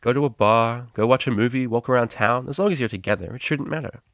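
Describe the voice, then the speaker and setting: A man's voice: mid-range voice, fast talker, dry recording, studio quality, voice pushed up, close to an everyday voice. A man voicing an easygoing, enthusiastic male pony tutor in a storybook reading, explaining things patiently and jovially. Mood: casual.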